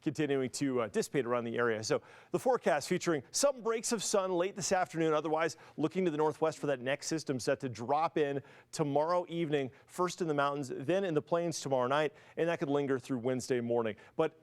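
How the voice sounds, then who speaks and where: Male American weathercaster, slightly high pitch, rapid conversational delivery, explains as he goes. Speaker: TV weather forecaster. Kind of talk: conversational broadcast weather forecast.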